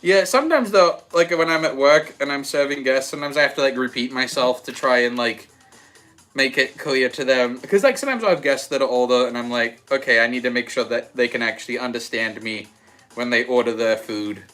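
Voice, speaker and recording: Bright young Australian-American accented man. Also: mid-range voice, fairly quick. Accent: Australian with some American. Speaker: livestream host. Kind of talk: casual livestream chat, reading and answering comments aloud. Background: background music.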